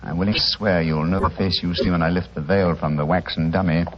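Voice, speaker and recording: An old, lightly hissing radio recording of a man playing a brilliant British detective. He speaks in a fairly low voice with crisp precision and total self-assurance. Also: fairly even intonation, a little fast, lightly acted. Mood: assured, composed. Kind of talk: 1940s radio acting. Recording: muffled, noisy 1940s radio recording.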